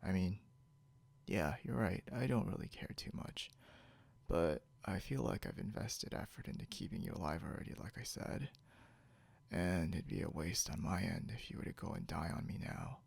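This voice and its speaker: A man playing a grumpy, teasing, possessive young beast-eared hunter in an intimate ASMR roleplay, drawling drowsily and half whispering with a breathy, gravelly voice. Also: low voice, lively intonation, moderate pace, darkish tone, heavily acted. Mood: grudging, teasing.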